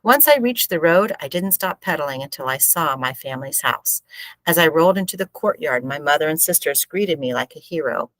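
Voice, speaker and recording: A low-voiced woman reads a story aloud in a measured, expressive narrating style. The sound is close and dry, with very little room around it. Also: no room sound, rough recording. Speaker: teacher reading a book aloud.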